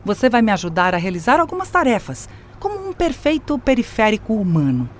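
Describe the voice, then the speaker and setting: A woman's voice: on the high side, wildly swooping pitch, brisk, slight background noise. An actress playing a scornful, grandiose artificial intelligence in an audio drama, mocking and domineering as she turns on her creator. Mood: gloating, bossy.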